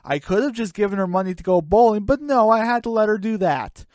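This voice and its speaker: A woman's voice: medium pitch, fairly quick, warm tone, dry recording, studio quality, pitched below the performer's own voice, lightly acted. A woman voicing an exasperated, sensible female chicken, weary and scolding. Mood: regretful.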